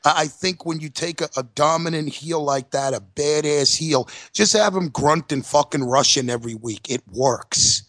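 A man's voice: on the high side, moderate pace, few pauses, a bit bright, no room sound, studio quality. A man co-hosting a casual wrestling podcast, blunt and emphatic, swearing freely. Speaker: podcast co-host.

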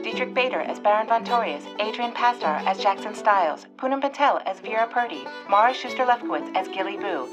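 A woman's voice: medium pitch, rough recording. Woman reading credits over music. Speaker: credits announcer. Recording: music under the voice.

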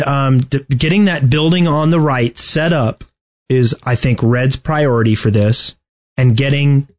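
A man hosting a podcast, casually discussing video game strategy in a halting, deliberate way. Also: medium pitch, darkish tone. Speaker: podcast host.

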